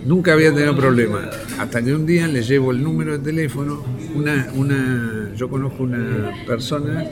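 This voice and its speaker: An Argentine-accented man giving spontaneous testimony, halting and colloquial as he recounts memories. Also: medium pitch. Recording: very loud crowd chatter behind.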